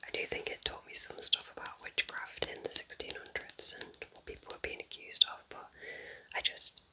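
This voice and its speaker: An ASMR creator whispering book recommendations in a breathy, unvoiced voice, with a gentle, informal, chatty manner. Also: moderate pace.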